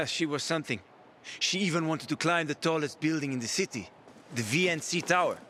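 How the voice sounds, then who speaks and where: A man's voice: on the high side, brisk, bright, forceful tone, slight background noise. A man acting a roguish, easygoing survivor and guide in a video game, breezy and persuasive with a wry edge.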